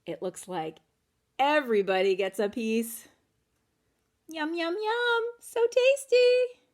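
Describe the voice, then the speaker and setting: A woman reading a children's picture book aloud in an animated way, stopping to ask listeners questions. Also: sits high, slow. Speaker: children's storytelling teacher.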